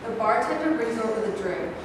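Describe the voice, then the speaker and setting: Female stage-direction reader, lively intonation, distant in a reverberant hall. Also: fairly high pitch, a little fast. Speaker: narrator reading stage directions. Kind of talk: scripted narration. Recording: echoey hall, far off, loud noise, siren.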